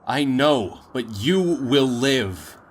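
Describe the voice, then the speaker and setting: A man playing a grave, tender, protective father in a post-apocalyptic audio drama, speaking deliberately and wearily. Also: medium pitch, expressive. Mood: urgent, commanding. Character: weary, protective father in a ruined post-war world.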